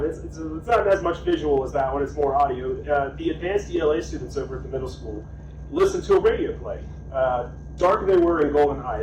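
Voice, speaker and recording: A man giving a casual, self-deprecating report at a school board meeting. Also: fairly high pitch. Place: meeting room. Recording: echoey room, far mic, noisy.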